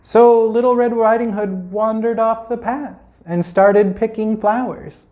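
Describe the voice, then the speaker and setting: A man's voice: high voice, fairly even intonation, measured pace, mellow, highs cut off. A man telling a children's fairy tale informally, as a storyteller, with playful, chatty asides.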